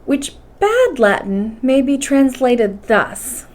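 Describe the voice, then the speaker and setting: A woman reading a bedtime story aloud with expressive, carefully enunciated narration. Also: sits high, slow pace. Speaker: storybook reader.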